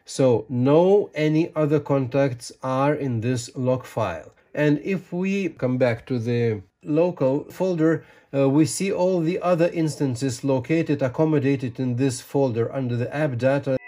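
A man's voice: mid-range voice, unhurried, hardly any pauses, warm tone. A man in his sixties giving an informal how-to explanation in a conversational, emphatic way with folksy asides. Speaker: ham radio tutorial presenter. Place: small home radio room by a window.

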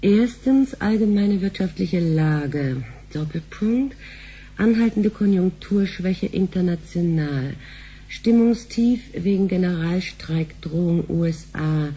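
Sharp-tongued, suspicious sci-fi wife. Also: female voice, lowish voice, animated, a little hiss or hum. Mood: impatient. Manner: brisk, sardonic. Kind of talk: radio drama acting.

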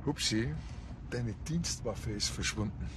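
An actor playing a nagging, worrying small-town police officer with a Bavarian accent. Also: male voice, mid-range voice, slow, background noise, natural voice.

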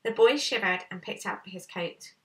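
A woman's voice: mid-range voice, moderate pace, fairly bright tone. A woman in her thirties reading aloud like a teacher, narrating a storybook in a room at home. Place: small bright room indoors at home. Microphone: camera a short way off. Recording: slightly distant camera microphone.

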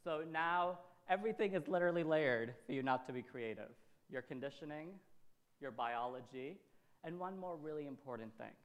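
A man's voice: fairly high pitch, animated, moderate pace. Emphatic male presenter in his thirties. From Syria. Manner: emphatic, repeats phrases, frequent check-in tags like "okay" and "right". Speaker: workshop speaker. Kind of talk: motivational presentation to an audience. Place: indoor event space, presenting in front of a backdrop.

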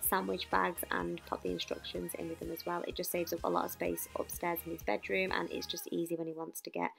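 Chatty young British woman with creaky voice. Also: on the low side, flat delivery, brisk. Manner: chatty, informal. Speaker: home cleaning vlogger. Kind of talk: casual voice-over narration of household chores. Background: background music.

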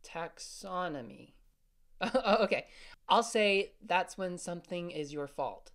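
A woman's voice: lowish voice, expressive, slow. A woman playing a confident, teasing older brother, a boy, in a family audio drama. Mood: teasing, amused. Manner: joking, teasing.

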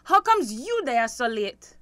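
A defiant young daughter with a Belizean Creole accent in a radio drama. Her high, bright voice moves up and down a lot, and she speaks without hurry. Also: very animated, unhurried, no echo, exaggerated voice.

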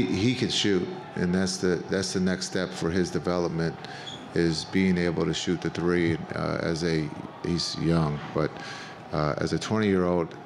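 A middle-aged coach speaks into a table microphone at a press conference. His voice is deep and flat, measured and plain, with frequent fillers. Noticeable noise from the press room sits underneath. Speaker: head coach. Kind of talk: postgame press conference answers.

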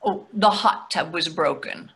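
A woman's voice: fairly low pitch, a little slow, fairly bright tone. A woman in her sixties playing a placating, dryly chiding wife in a readers theatre play. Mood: reasoning. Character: placating, gently needling middle-aged wife. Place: plain room indoors on a video call.

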